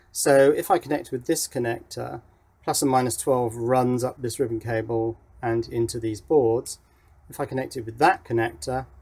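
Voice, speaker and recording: A man's voice: mid-range voice, darkish tone. A man giving a casual hands-on electronics demonstration, thinking aloud as he works. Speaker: electronics hobbyist presenter. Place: indoor workshop.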